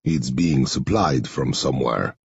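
A man voicing a guarded, serious male guardian with a terse, measured manner in an audiobook. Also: extremely low pitch, gravelly, slightly rough, dry recording, highs cut off, pitched below the performer's own voice, heavily acted. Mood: grave.

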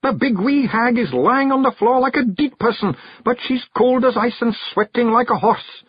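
Pint-sized Scottish fairy fighter for a storybook: blunt, excitable, high and husky. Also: male voice, normal speed, no room sound, exaggerated voice. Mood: urgent, loud. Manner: blunt, emphatic dialect talk. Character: tiny brash male fairy warrior, blunt and excitable. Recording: dull, lo-fi recording.

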